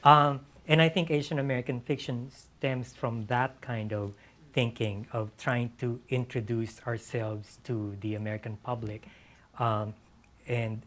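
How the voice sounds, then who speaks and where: A man from the Philippines answering as a novelist on a discussion panel, halting and thoughtful, often repeating words as he reflects. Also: medium pitch, fairly bright tone, a little hiss or hum. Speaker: novelist being interviewed on a panel.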